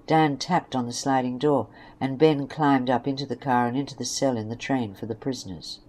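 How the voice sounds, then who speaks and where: A woman's voice: very deep voice, steady tone, moderate pace, darkish tone. A woman reading scripted story narration for an amateur audio drama.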